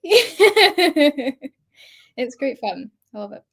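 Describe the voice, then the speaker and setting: A young woman with a soft, polished Scottish accent, talking in a bubbly, animated way and breaking into giggles. Her voice sits a touch high. She tells stories in a casual interview chat. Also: very slow, creaky. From Scotland. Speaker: actress guest.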